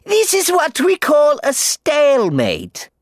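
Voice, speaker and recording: A man's voice: extremely high pitch, huge pitch swings, slow, no room sound, studio quality, pitched above the performer's own voice, exaggerated voice. A man voicing a drawling, icy female villain. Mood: cool menace.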